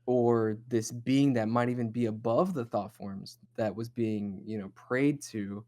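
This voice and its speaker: A man's voice: mid-range voice, steady tone, unhurried, dark tone. A man hosting a horror discussion show, talking in a casual, rambling, speculative and sometimes profane way. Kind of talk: casual chatty analysis of horror lore.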